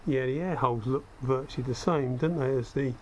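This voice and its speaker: A man with a slightly croaky, cold-affected voice giving a casual hands-on demonstration, thinking aloud as he works. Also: medium pitch, brisk, low-quality recording. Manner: conversational, self-deprecating asides, thinking aloud while working. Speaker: hobbyist host demonstrating spray gun equipment. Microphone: head-mounted action camera. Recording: steady background noise from workspace.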